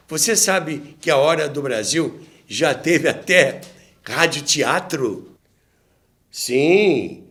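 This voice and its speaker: A Brazilian man narrates a documentary at a slow, deliberate pace. His delivery is very lively in pitch and sits slightly high for a man. He explains like a teacher and slips in conversational asides to the listener. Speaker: host and narrator of a radio history show.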